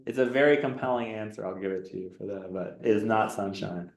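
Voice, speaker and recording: A man's voice: fairly low pitch, a little fast, creaky. Male instructor, quiz review, rising questioning delivery, roomy classroom sound. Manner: reads multiple-choice options with rising questioning tone. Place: lecture classroom. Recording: echoey classroom.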